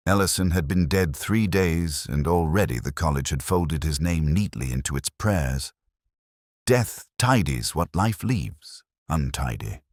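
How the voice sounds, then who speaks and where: A man playing a world-weary, cerebral police detective, narrating a radio drama in the first person in a measured, melancholic, dryly ironic manner. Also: very deep voice, no echo, clean studio sound, full character voice.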